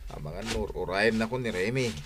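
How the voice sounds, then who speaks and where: An actor playing a put-upon husband and son-in-law in a comedy radio drama, muttering and grumbling hesitantly. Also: male voice, on the low side, fairly quick, a bit bright, a little hiss or hum, close to an everyday voice.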